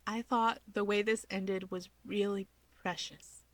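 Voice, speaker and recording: A woman's voice: medium pitch, steady tone, a little slow, a little hiss or hum. A woman hosting a fan fiction reading channel, speaking informally as a narrator and commentator.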